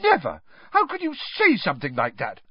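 Hermione: a man voicing an indignant, shrill schoolgirl. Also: wildly swooping pitch, slightly rough, dry recording, dull audio, full character voice. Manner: shrill, rapid and outraged. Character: indignant bookish teenage girl, quick and shrill.

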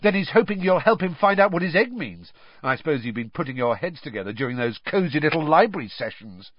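A man's voice: on the high side, sing-song, quick pace, breathy edge, no room sound, highs cut off, voice pushed up. A man voicing a sulky, jealous teenage boy who speaks scathingly and sneers at his friend.

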